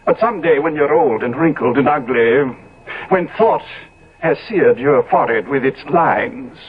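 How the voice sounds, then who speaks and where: A man's voice: medium pitch, normal speed, slightly rough, natural voice. A man playing a decadent, cynical Victorian lord in a theatrical, grandly persuasive way, in 1970s radio drama style. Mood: fervent, persuasive. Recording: tinny, phone-like old radio recording.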